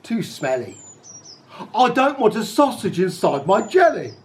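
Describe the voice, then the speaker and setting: A man's voice: sits high, sing-song, a little slow, slight background noise. A man playing a fussy, hungry king. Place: back garden outdoors.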